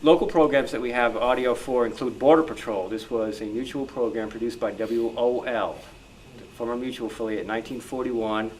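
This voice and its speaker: A man's voice: medium pitch, fairly quick, hardly any pauses. A man speaking informally as a researcher and panel guest at a club meeting. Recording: clearly noisy live room.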